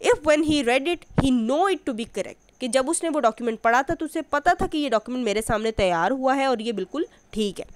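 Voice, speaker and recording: A woman with a Pakistani accent and a fairly high, very clear voice teaches in an explanatory way. She alternates between reading passages out and restating them in simpler terms, repeating key points. The sound is dry and close. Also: expressive, moderate pace, few pauses, no room sound. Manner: didactic, rephrases and repeats points. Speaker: law lecturer. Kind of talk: explanatory lecture mixing read-out passages with explanation.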